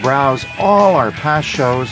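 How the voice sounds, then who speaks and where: A man's voice: mid-range voice, expressive. Male radio host over background music. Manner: wry, reads out plugs and thanks briskly. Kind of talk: radio talk show wrap-up. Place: radio studio.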